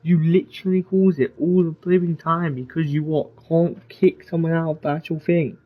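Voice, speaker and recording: A male streamer talks informally over a game, opinionated and loose. His voice is husky and fairly high, picked up on a slightly dull, lo-fi mic. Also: fairly even intonation, moderate pace. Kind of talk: casual gameplay commentary, reading some dialogue aloud.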